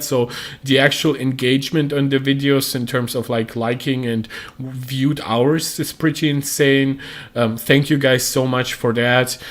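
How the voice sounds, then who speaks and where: A young man hosting a tech livestream, with a deep voice made hoarse by a cold, talking casually with lots of ums and uhs. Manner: rambling with many ums and uhs.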